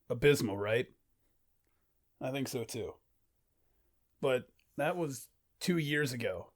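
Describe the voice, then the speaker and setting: A young man narrating a reflective, informal video in a self-deprecating manner, as an amateur voice actor. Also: medium pitch.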